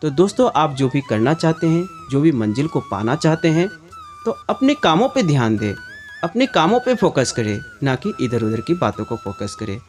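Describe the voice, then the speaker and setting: A man's voice: on the high side, wildly swooping pitch, normal speed. A man narrating a scripted moral story as a storyteller. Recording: background music under the voice. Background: music.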